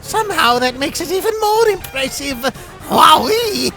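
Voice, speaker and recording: Earnest skeleton little brother, swooping pitch. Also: male voice, animated, a little slow, gravelly, loud music behind the voice, heavily acted. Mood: amazed. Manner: formal, theatrical wording. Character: earnest, dramatic, downhearted young skeleton brother. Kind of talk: animated comic dub acting.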